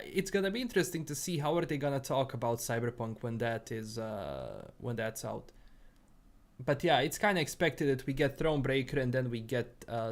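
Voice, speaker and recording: A young man with a Bosnian accent talks loosely on a live stream, his thoughts wandering with frequent fillers and restarts. His pitch sits in an ordinary middle range. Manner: rambling, many fillers and restarts. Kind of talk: casual livestream chat, reading chat.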